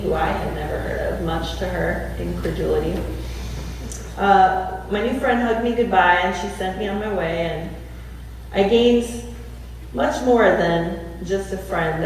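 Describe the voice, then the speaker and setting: A woman's voice: mid-range voice, darkish tone, slight background noise. Female preacher at a storytelling sermon, heard from far off in a very echoey church hall. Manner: storytelling with slowed emphasis. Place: church sanctuary.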